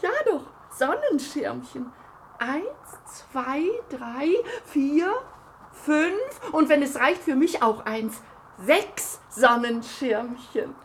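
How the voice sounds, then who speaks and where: An actress playing a kindly, whimsical old auntie with a chirpy, doting manner in a radio play. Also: female voice, high voice, sing-song, extremely slow, heavily acted. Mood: delighted. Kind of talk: German radio play acting. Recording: noisy old radio drama recording.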